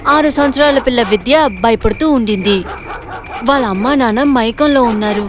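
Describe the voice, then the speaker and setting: Female narrator, somewhat high-pitched, scripted storytelling for an animated moral tale. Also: moderate pace, over music.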